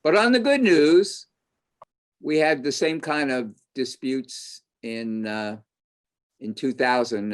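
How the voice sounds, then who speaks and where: A man hosting an online panel discussion, talking in an animated, opinionated way and thinking aloud. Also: on the low side.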